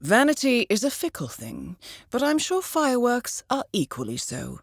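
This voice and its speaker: An actress playing a composed, formal and dryly witty intelligence handler who briefs an assassin in a video game. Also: female voice, fairly high pitch, huge pitch swings, moderate pace, slightly rough, no echo, studio quality, heavily acted. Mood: dryly wry. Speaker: mission handler.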